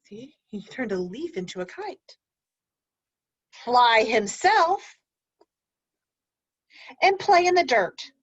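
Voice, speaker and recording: A woman teacher reading a picture book aloud to children, pausing to explain words and ask gentle questions. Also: a little high, very animated, slightly rough, no echo, dull audio.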